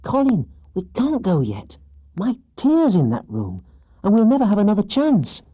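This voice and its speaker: A man voicing a frightened but determined young girl in a children's storybook, anxious and hushed. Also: high-pitched, wildly swooping pitch, moderate pace, breathy edge, no room sound, pitched above the performer's own voice, full character voice. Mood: determined pleading.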